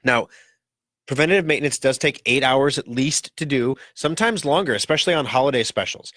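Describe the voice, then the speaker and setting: A man hosting a casual talk radio show in a rambling, joking way, his voice rough and scratchy from a cold. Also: mid-range voice, fairly quick, a bit bright, dry recording, clean studio sound.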